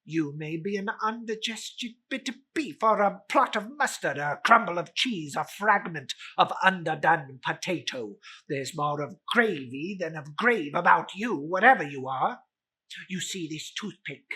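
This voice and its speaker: A woman's voice: on the low side, expressive, measured pace, bright, forceful tone, slightly rough, full character voice. A woman voicing a stubborn, irritable old man who is rattled, stammering and blustering, in a dramatic storybook reading. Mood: scornful.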